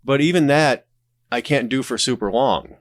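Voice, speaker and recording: Man talking casually, medium pitch, very dry sound. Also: normal speed, dry recording. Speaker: podcast guest, writer and audio drama creator. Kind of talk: casual conversational interview.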